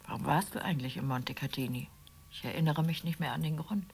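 Radio play wife: chatty, middle-class would-be writer, low and creaky, slight background noise. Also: female voice, fast talker. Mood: casual, domestic. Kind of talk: radio play dialogue acting.

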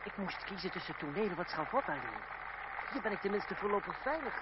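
An actor playing a proud, idealistic young gentleman turned stage player in an old radio drama, earnest and pointed. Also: high voice, sing-song, fairly quick. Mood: defensive. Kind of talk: old-fashioned Dutch radio drama acting. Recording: noisy, muffled old radio play recording.

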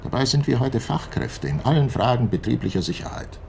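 A man acting in a radio play as a defensive, self-important head of company security who explains himself deliberately. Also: mid-range voice, big pitch swings, gravelly, darkish tone, a little hiss or hum. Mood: piqued.

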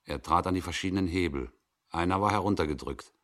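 Deep-voiced man reading a suspense story. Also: quick pace, fairly bright tone. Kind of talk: dramatic scripted story reading. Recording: 1960s radio production.

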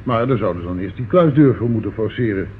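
An actor in a radio play playing a formal bank manager. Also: male voice, lowish voice, animated, fast talker, close to an everyday voice. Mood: puzzled, formal. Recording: tinny, faintly noisy old radio recording.

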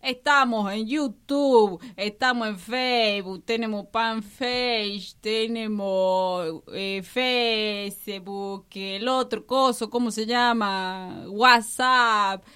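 A woman's voice: medium pitch, extremely slow, few pauses, a bit bright, smooth. A woman with an Argentine accent from La Rioja, recording playful community radio station promos with repeated chanted lines. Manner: playful, repetitive chanting, laughing asides.

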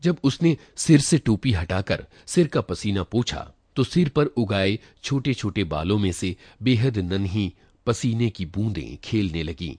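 A man with a fairly low voice reads a literary short story aloud. His delivery is expressive and reflective, and the sound is dry and close, with little room in it. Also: a little slow, no room sound. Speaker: story reader. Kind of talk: literary short story narration, first person, acting out dialogue.

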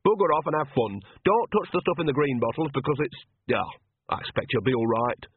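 A man's voice: mid-range voice, expressive, fairly quick, phone-quality sound, slight background noise, pitched above the performer's own voice, natural voice. Man as a bossy, earthy old witch. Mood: blunt, bossy. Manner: blunt, colloquial, cajoling. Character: bossy, earthy, shrewd older woman witch.

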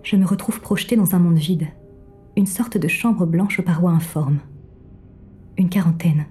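An actress playing a gentle, mysterious, devoted female companion, speaking an intimate audio fiction monologue in a soft, soothing manner. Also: on the low side, normal speed, soft background music, natural voice. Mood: disoriented. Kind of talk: scripted first-person audio fiction monologue.

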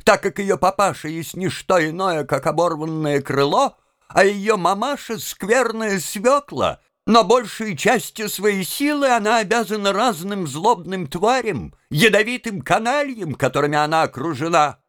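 A man's voice: high-pitched, expressive, moderate pace, fairly bright tone, dry recording, studio quality, pitched above the performer's own voice. A man voicing a naive, dreamy young student who declaims earnestly and fervently in an audiobook reading. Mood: insistent. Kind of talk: dramatized audiobook reading of a classic tale.

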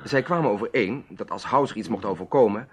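A man's voice: on the low side, brisk, slightly rough. An actor playing an earnest, bold adventurer telling his story emphatically in a Dutch radio drama.

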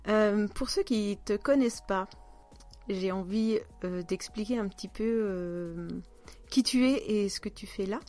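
A woman's voice: mid-range voice, unhurried, a little mellow. A woman hosting a podcast, chatting informally as she interviews her guest. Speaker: podcast host. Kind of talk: casual conversational interview.

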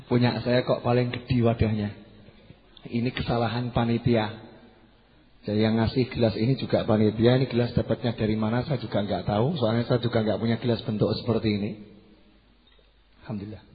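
A slightly hoarse man giving a religious lecture to a congregation, conversational, repeating key phrases and putting questions to his listeners. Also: lowish voice. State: slightly hoarse after losing voice. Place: large hall at a religious gathering. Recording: muffled PA with long hall reverb.

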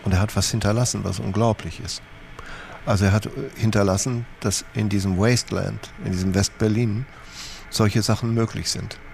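A man's voice: fairly low pitch, steady tone, moderate pace, gravelly, a little mellow, a little hiss or hum. A man being interviewed, talking reflectively.

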